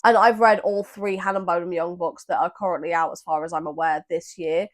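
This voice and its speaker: A woman with a slightly hoarse, froggy voice, chatting casually and warmly about upcoming books as a vlogger. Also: medium pitch. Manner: friendly, chatty, self-deprecating. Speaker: book vlogger. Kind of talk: casual booktube chat.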